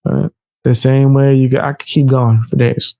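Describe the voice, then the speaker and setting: Man, gravelly voice, mellow tone, casual reaction commentary, laughs and repeats himself. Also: medium pitch, little pitch movement, moderate pace, no echo. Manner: loose, laughing, repeats himself, says bro. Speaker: commentary YouTuber.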